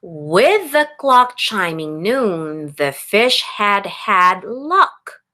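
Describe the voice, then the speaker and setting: A woman pre-K teacher doing an expressive read-aloud for young children, instructive and addressing them with questions. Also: medium pitch, extremely slow.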